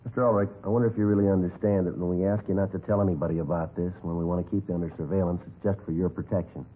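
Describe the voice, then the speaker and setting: Deadpan, courteous police detective, low and very fast, for an old radio crime drama. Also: male voice, slightly rough. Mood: calm, firm. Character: polite, businesslike male police detective questioning calmly. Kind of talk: understated 1950s radio acting. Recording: tinny, noisy vintage radio recording.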